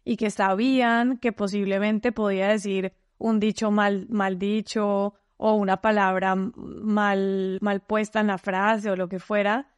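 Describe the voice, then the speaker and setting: A woman's voice: medium pitch, no room sound. A Colombian woman talking as a podcast guest in casual conversation, often restarting phrases and using fillers. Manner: talkative, frequent restarts and fillers. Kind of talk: casual interview chat.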